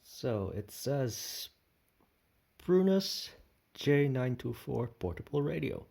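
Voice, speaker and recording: A man's voice: mid-range voice, sing-song, takes it slowly, vocal fry, mellow, slight background noise. A man thinking aloud in short, tentative fragments as he gives casual unboxing commentary indoors. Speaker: product reviewer. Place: indoor room with tiled floor.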